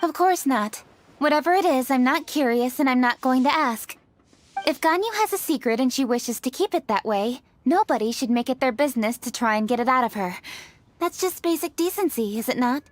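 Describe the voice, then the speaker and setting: An actress voicing a proper, principled young female official who speaks crisply and a little primly. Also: high-pitched, expressive, fairly quick, a little hiss or hum. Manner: crisp, upright, slightly prim. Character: brisk, proper young woman official, principled and a bit flustered.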